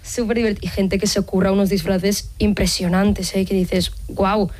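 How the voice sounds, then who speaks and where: Young woman with fairly even intonation. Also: mid-range voice, fairly quick. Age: young adult. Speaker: musical theatre performer, interview guest. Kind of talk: casual interview chat.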